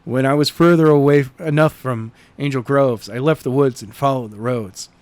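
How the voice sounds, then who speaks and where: A man's voice: mid-range voice, moderate pace, darkish tone, clean tone, no echo. A man working as an amateur narrator and podcast co-host, reading a novel and chatting casually about it.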